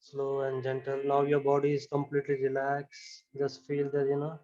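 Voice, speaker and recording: A man leading a guided yoga relaxation with chanting, giving calm, repetitive instructions and often saying "you know". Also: medium pitch, normal speed, dull audio.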